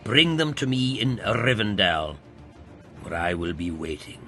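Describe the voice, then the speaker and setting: A man's voice: on the low side, very animated, measured pace, music in the background. A man playing a grave wizard in an amateur fantasy audio play. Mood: solemn.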